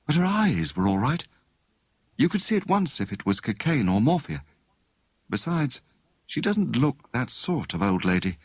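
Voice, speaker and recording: A thoughtful, inquisitive young woman sleuth from a storybook, acted out by a male narrator. Her pitch swings widely as she muses over each idea, with a slightly husky edge. The recording is close and dry. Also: sing-song, moderate pace, dry recording. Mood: pondering.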